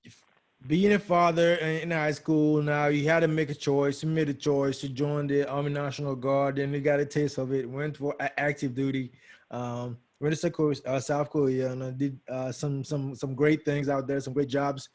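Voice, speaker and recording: A young man hosting a casual interview, eager and quick to react with praise. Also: a little high, flat delivery, a little mellow. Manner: enthusiastic, quick to react with "awesome" and laughs. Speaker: host.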